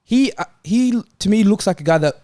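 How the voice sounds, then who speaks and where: A man's voice: high-pitched, animated, fairly quick, mellow, no echo, clean studio sound. A man talking casually and argumentatively as a panelist on a football show. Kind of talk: casual debate.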